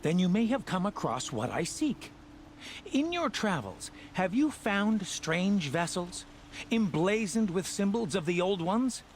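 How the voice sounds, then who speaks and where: A pompous male scholar from a video game, high in pitch and swooping with enthusiasm. He talks theatrically, like someone obsessed with ancient relics. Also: sing-song, a little slow, a little hiss or hum, heavily acted. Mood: probing, eager. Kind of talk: video game character acting.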